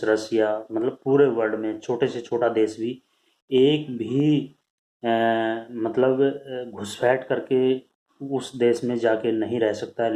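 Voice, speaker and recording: An Indian man hosting a news channel, giving unscripted commentary in a halting way, often tagging his sentences with a short filler word. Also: mid-range voice, dark tone, low-quality recording. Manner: halting, repeatedly says "okay" as a tag.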